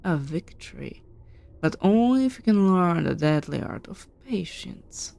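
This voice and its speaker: A woman voicing an imperious, merciless ruler in a story, with a commanding, measured, oratorical delivery. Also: on the low side, big pitch swings, vocal fry, warm tone, heavily acted. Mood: cold, menacing. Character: imperious, merciless ruler pronouncing judgment on a foe.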